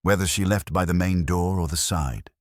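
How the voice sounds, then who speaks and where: A man's voice: deep voice, normal speed, dry recording, lightly acted. A man voicing a courteous, dry police inspector who speaks formally and precisely in a mystery story. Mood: calm, inquiring.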